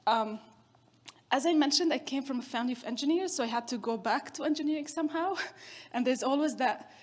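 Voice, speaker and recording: Chatty keynote woman, higher voice. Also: fairly quick. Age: 30s. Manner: chatty, storytelling with self-deprecating humor. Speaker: keynote speaker. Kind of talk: conference keynote talk.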